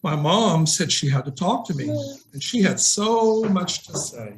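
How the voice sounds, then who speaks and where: Middle-aged male storybook reader, high-pitched voice, talks directly to small kids, noisy video call. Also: expressive, measured pace. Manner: simple explanations and questions addressed to children. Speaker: nurse and guest storybook reader. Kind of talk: reading a picture book to young children.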